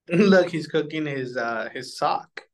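A man co-hosting in casual chat, tossing in short, jokey reactions and quick to laugh. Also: on the high side, animated. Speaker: co-host of a cartoon countdown show.

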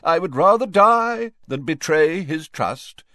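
A man's voice: on the high side, lively intonation, slow pace, pushed and bright, no room sound, studio quality, pitched above the performer's own voice, exaggerated voice. A man voicing a pompous, easily affronted male ghost in an audiobook, speaking formally and with emphasis. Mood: self-important. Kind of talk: audiobook character voice.

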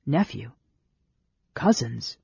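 A man's voice: medium pitch, sing-song, extremely slow, creaky, dark tone, breathy edge, dry recording, lo-fi sound, exaggerated voice. A man voicing an arrogant, suspicious young warrior in a fantasy audiobook, with a sneering, sarcastic manner. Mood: angry, suspicious.

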